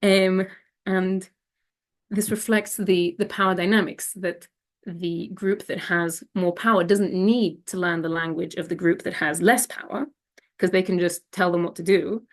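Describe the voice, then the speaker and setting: A woman's voice: on the low side, steady tone, a little slow. A young woman from Israel giving a slide presentation, hesitant and full of fillers and restarts.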